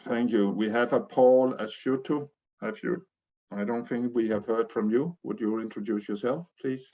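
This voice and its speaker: A man's voice: medium pitch, normal speed, warm tone, highs cut off. Polite man moderating a call. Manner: polite, brief prompts. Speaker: meeting moderator. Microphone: video call.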